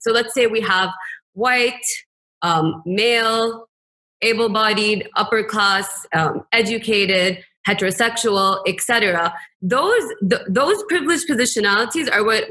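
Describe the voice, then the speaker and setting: A woman's voice: mid-range voice, sharp tone, poor audio. A woman from Kuwait speaking as an academic on a panel, explaining ideas and often restating and qualifying her points.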